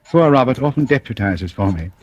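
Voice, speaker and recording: An actor in a radio thriller playing a suave, cunning gentleman, coolly menacing, with measured, formal, ironically polite speech. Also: medium pitch, expressive, highs cut off, lightly acted. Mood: sardonic, composed. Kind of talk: 1940s radio thriller acting. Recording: noisy old radio drama recording.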